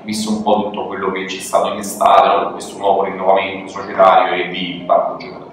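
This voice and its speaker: A man's voice: low-pitched, completely flat delivery, quick pace, slight background noise. A man interviewing at a press conference, asking long, winding questions. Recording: echoey hall from far off.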